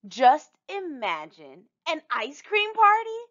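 A woman's voice: high-pitched, huge pitch swings, slow pace, a bit bright, dull audio, voice pushed up, full character voice. A woman voicing an eager young schoolgirl who loves science in a storybook, earnest and emphatic. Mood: excited.

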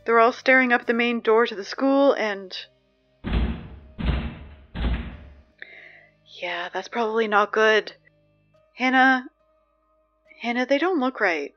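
A woman's voice: fairly high pitch, unhurried, dull audio, faint music behind, natural voice. A woman playing a chatty young school announcer who keeps getting flustered, in an audio drama. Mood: anxious. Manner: chatty, growing flustered and panicky.

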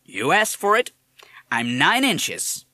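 Brief: man playing a high, brash gangster-slang parrot, swaggering. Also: sing-song, measured pace, a bit bright, dry recording, studio quality, heavily acted. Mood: defiant. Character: streetwise tough-talking male parrot.

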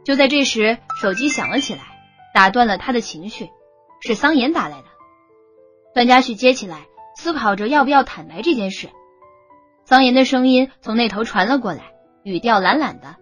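A woman's voice: fairly high pitch, lively intonation, moderate pace, fairly bright tone, faint music behind. A woman narrating a romance novel as a scripted audiobook.

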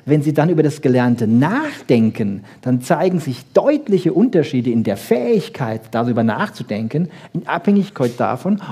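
Male lecturer, higher-set mellow voice, informal and insistent, teacherly delivery. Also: breathy edge. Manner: emphatic, didactic, with frequent check-in questions. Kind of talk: informal public lecture on research.

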